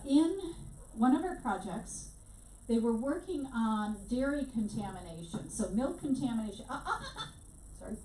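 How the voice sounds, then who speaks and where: A woman leads an informal workshop, speaking in a loose, chatty way full of restarts and fillers. Her voice is fairly high but soft and mellow in tone. She sounds some distance from the mic, in a room with noticeable echo. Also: slight background noise. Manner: conversational, frequent fillers and restarts. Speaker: workshop instructor.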